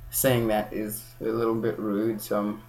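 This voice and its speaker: A man's voice: on the low side, monotone, measured pace, darkish tone. A young man from Kenya in casual chat, rambling with many restarts and self-corrections.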